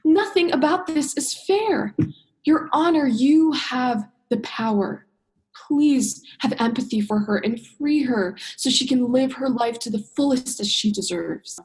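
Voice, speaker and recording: A woman's voice: high-pitched, measured pace, darkish tone. A young woman acting an earnest, principled diver in a student radio play. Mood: pleading, indignant. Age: young adult.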